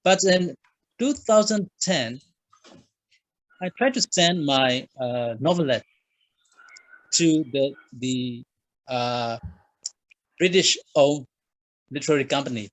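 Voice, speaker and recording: A man from Myanmar with a Burmese accent, a guest author answering interview questions in a halting way with many fillers. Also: mid-range voice, animated, no room sound, low-quality recording. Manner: halting, frequent uh fillers, simple phrasing.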